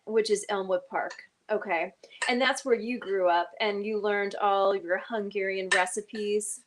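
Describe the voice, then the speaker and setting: A woman's voice: mid-range voice, steady tone, measured pace, slight background noise. A woman from North Carolina, hosting a casual cooking segment and asking many questions. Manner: chatty, asks lots of questions. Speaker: host.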